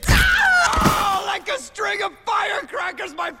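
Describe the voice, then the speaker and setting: A brash female cartoon pegasus, yells in agony with a very high, cracked, gravelly voice. It is strained and foul-mouthed, and loud music sits behind it. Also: sing-song, takes it slowly, exaggerated voice. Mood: agonised. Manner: swearing, yelling. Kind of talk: dramatic fan fiction audio reading. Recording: echoing reverb under loud music.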